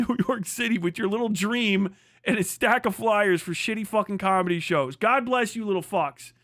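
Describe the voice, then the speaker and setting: A man with a high, sharp voice rants casually as a livestream host, swearing freely and hammering points by repeating them. The sound is close and very dry, with a forceful edge to the delivery. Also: dry recording. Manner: profane, emphatic, repeats phrases for emphasis. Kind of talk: casual livestream commentary, comedic ranting.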